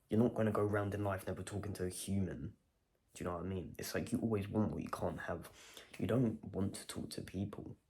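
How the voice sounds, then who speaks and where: A man's voice: low-pitched, gravelly, a little mellow. A man vlogging a personal video diary, talking in a reflective, rambling way and questioning himself as he goes.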